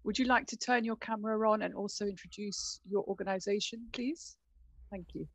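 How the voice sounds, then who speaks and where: A woman's voice: medium pitch, a little fast, no room sound. A middle-aged woman moderating a webinar through a headset on a video call. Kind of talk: webinar hosting. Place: room at home.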